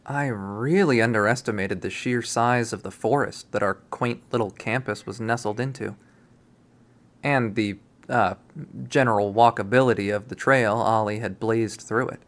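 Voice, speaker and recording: A man reading a visual novel aloud as first-person narration, in a casual, expressive style. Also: fairly low pitch, normal speed. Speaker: visual novel readthrough host.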